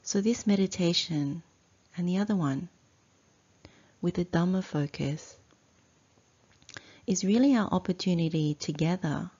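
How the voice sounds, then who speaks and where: A woman teacher leading a guided meditation in a measured, deliberate way, breaking her sentences into short phrases with long pauses. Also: fairly low pitch, dark tone, breathy edge, dull audio. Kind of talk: slow guided meditation instruction.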